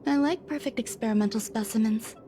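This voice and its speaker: Actress doing calm detached scientist lady from a video game, highish voice, measured n formal, music playing behind. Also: natural voice. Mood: clinically calm. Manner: measured, formal, softly deliberate. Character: serene, detached young woman scientist with clinical curiosity. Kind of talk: scripted video game character dialogue.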